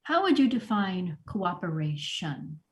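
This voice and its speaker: A woman acting a disorganized, sheepish store manager in a comedy table read, halting and awkward as she rambles. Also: on the low side, very animated.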